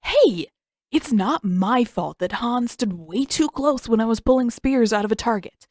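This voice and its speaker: A woman's voice: a little high, expressive, measured pace, a little mellow, no room sound, pitched above the performer's own voice. A woman puts on a cheeky teenage boy for an audio story. He is smug and nosy, with a teasing delivery, recorded dry and close.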